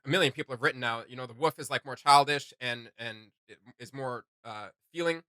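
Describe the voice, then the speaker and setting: A man's voice: medium pitch, brisk, sharp tone, smooth, no room sound. A man hosting a reaction video, giving casual commentary with emphatic delivery.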